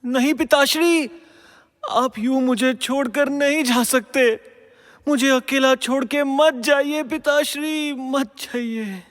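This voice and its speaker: An actor playing a devoted young warrior prince in a Hindi mythological audio drama, earnest and formal, full of feeling. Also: male voice, very high-pitched, slow pace, fairly bright tone, exaggerated voice. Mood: anguished, pleading. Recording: long hall-like reverb.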